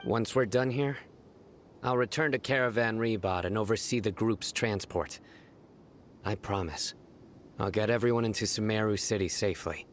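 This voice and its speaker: A man playing a stern, composed officer of authority in a video game, serious, measured and commanding. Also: lowish voice, slight background noise, lightly acted. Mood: assured. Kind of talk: video game cutscene acting.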